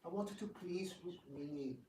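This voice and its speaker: A man's voice: sits high, moderate pace, dark tone, distant mic, slight background noise, close to an everyday voice. Bitter, grumbling prince played by a man, echoey room. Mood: bitter, exasperated. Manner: exasperated, theatrical complaining. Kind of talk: amateur devotional dramatic reading. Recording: echoey room, picked up from afar.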